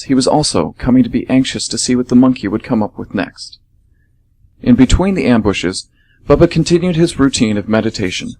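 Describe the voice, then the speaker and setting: A man with a medium-pitched voice narrates a story for radio theatre. He reads from a script, with faint noise in the background. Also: a little mellow.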